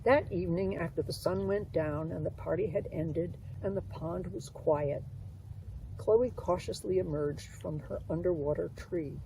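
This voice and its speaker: Woman reading a kids picture book outside, mellow voice, mic kinda far away, bit of noise. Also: on the low side, unhurried, vocal fry. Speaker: author reading own children's book aloud. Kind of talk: children's storybook narration. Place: outdoors beside a pond. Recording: distant mic, faint outdoor noise.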